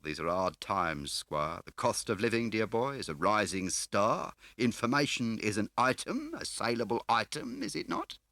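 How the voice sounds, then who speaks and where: A fat, shifty car dealer for an audiobook. The voice is pitched well up from the reader's own and swoops about, with boisterous, wheedling, mock-grand phrasing and a bright, forceful edge. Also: male voice, big pitch swings, moderate pace, few pauses, no room sound, full character voice. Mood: sly, florid. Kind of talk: audiobook character voice.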